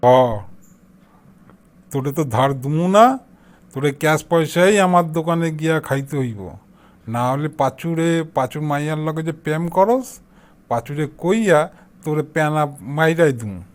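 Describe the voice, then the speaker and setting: A man's voice: fairly high pitch, animated, normal speed, natural voice. A man playing a crafty, pushy shopkeeper in an amateur comic cartoon, scolding and badgering in an eastern Bengali accent. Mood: threatening. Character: pushy, crafty male shopkeeper demanding unpaid money.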